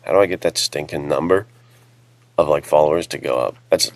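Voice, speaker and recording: A man with a very deep, creaky voice, chatting casually as an author in a rambling, self-deprecating way. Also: a little fast. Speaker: author and podcast panelist. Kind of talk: casual chat.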